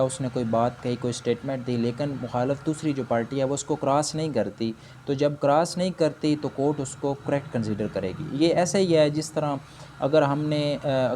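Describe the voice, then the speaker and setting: A man with a Pakistani accent giving an explanatory law lecture, switching between explanation and slowly read English legal phrases. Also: mid-range voice, mellow, slight background noise. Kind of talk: explanatory lecture, reading out points and explaining them.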